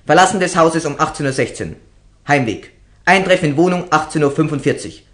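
A man's voice: a little high, animated, a little fast, a bit bright. An actor in a German radio drama playing an eager, proud boy detective's helper who speaks in precise, clipped reports. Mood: businesslike, proud.

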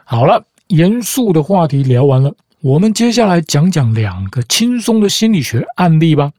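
A man hosting a children's show, explaining ideas in a calm, patient, teacher-like way. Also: fairly high pitch, normal speed, dry recording. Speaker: host, the show's dad figure.

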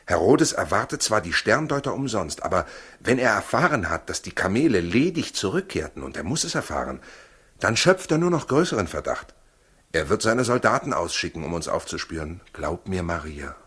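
A protective, determined husband in a 1960s radio play. His voice is deep and rough-grained. He speaks gravely, in a measured, urging way. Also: very animated, a bit bright, heavily acted. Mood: earnest, persuading. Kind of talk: 1960s German radio drama acting.

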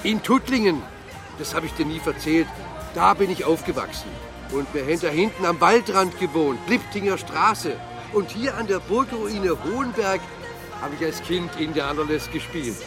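Bookish, chatty male sidekick, radio crime play, higher register, music underneath. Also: lively intonation, moderate pace. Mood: nostalgic. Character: chatty, bookish male bookseller, detective's good-natured buddy. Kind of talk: German radio crime drama dialogue.

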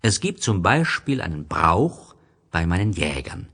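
Storybook fox, played by a male narrator: gentle, wistful, husky, slow and patient. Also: deep voice, sing-song, full character voice. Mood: explaining.

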